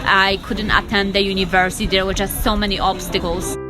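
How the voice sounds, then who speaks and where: A woman's voice: mid-range voice, little pitch movement, a little fast, over music. A young woman from Poland with a Polish accent, telling her personal story as an interview guest in short, emphatic sentences. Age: young adult.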